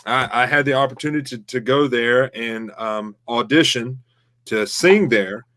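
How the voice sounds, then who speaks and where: A man's voice: mid-range voice, normal speed, a bit bright, dry recording. A man hosting a casual interview, friendly and encouraging, asking open questions. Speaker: host.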